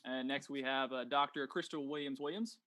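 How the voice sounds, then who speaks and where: A man's voice: medium pitch, fairly even intonation, brisk, a bit bright, no echo. A man hosting an online panel, introducing each guest with fillers and repeated words. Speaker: host.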